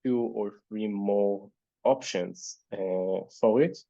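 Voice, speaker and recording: A man's voice: on the low side, slow, gravelly, mellow, no room sound, muffled recording. A man whose first language is Hebrew speaks English with a Hebrew accent. He hosts an online Q&A, thinking aloud hesitantly with many fillers. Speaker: event host reading audience questions. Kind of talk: casual online panel Q&A.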